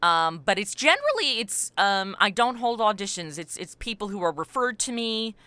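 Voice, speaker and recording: Middle-aged female podcast guest, forward bright tone in a dry, close recording, informal and animated. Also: medium pitch, normal speed, smooth, no room sound. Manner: animated, self-deprecating, acts out quoted remarks. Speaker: podcast creator and voice actor, interview guest. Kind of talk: casual interview chat.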